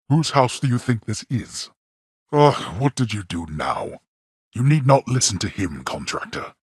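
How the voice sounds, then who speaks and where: A deep, heavily rasping old ruler of the dead for a video game. He is imperious and scornful, speaking in stately archaic phrases with big swings of pitch, like a weary, stern father passing judgment. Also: a bit bright, dry recording, clean studio sound, full character voice. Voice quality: gravelly, husky. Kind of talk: video game character acting.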